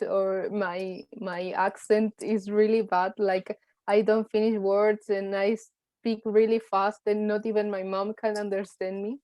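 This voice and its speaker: A woman's voice: mid-range voice, dry recording, muffled recording. A young woman with a Spanish accent, a university student speaking hesitantly in a class discussion. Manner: hesitant, many restarts and fillers.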